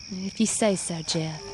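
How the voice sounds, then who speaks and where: Slow-spoken, earnest young country woman. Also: lowish voice, heavy background noise. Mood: puzzled. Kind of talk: radio drama acting.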